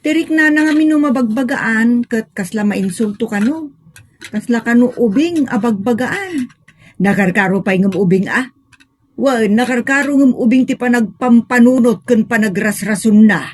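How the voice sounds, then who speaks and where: A woman's voice: on the high side, normal speed, natural voice. A woman acting an exasperated, complaining Ilocano family member in a comedic audio drama, with an Ilocano accent. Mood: scolding.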